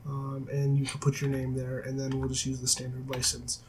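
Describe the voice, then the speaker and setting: A man with a cold-roughened raspy voice, teaching a coding tutorial over a screen recording with many filler sounds and self-corrections. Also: medium pitch, completely flat delivery, moderate pace, slight background noise. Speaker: programming tutor. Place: at a desk, voice-over of screen capture. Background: faint keyboard typing.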